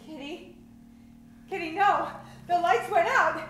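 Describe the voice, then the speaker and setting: A woman's voice: very high voice, slow, fairly bright tone, slight background noise, full character voice. A woman playing a jaded, easily frightened female convict in an old-time radio drama, with theatrical, hesitant delivery. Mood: terrified. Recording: echoey live stage.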